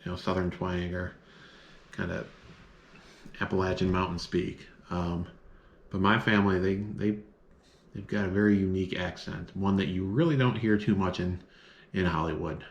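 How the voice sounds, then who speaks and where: A man from the northern United States with a low, deep voice, chatting casually to his viewers. He rambles and fills pauses with ums and you-knows. The room around him rings noticeably. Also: steady tone, muffled recording. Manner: rambling, many filler words. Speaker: vlogger. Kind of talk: casual chat to camera. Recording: echoey room.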